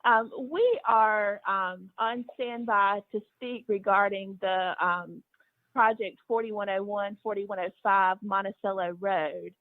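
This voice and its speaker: A woman's voice: mid-range voice, takes it slowly. A woman calling in to a public meeting, speaking politely and without a script. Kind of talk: polite unscripted phone comments. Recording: tinny dry phone line.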